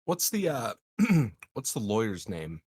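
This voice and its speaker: Man, tabletop game player, casual chat, medium-pitched voice, dry close recording. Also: wildly swooping pitch, takes it slowly, a little mellow, breathy edge, dry recording.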